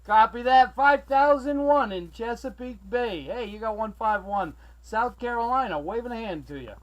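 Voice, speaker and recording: A man from Massachusetts chatting as a CB radio operator, friendly and excitable, calling out callsigns and sign-offs. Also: very high voice, moderate pace, dull audio, a little hiss or hum. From Massachusetts, USA. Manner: excitable, friendly, prone to raising his voice. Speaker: CB radio operator running the channel from South Carolina.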